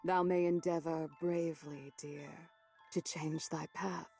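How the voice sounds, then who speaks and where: A woman's voice: low voice, fairly even intonation, measured pace, mellow, slightly rough, soft background music, full character voice. A woman playing a solemn, ominous narrator reciting archaic verse about fate in a visual novel, in a grave theatrical manner. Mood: solemn, foreboding.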